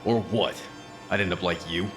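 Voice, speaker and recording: Guy acting as a tired brooding detective in a noir audio drama, really deep, dramatic, music under it. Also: steady tone, moderate pace, natural voice. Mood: wary, defiant. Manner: brooding, wry, dramatic delivery. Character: weary, desperate male occult detective narrating his fight. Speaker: lead actor and narrator. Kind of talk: dark noir audio drama narration and dialogue.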